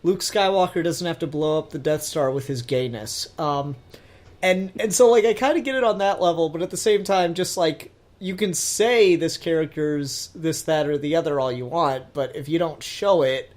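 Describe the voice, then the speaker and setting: A man's voice: a little high, moderate pace, darkish tone. Casual young man hosting a chat show. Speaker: podcast host.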